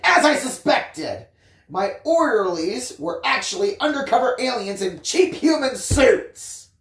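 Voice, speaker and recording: A storyteller reading a first-person tale expressively, with theatrical emphasis and drawn-out phrases. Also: medium pitch, very animated, roomy sound. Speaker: audiobook reader, story time host.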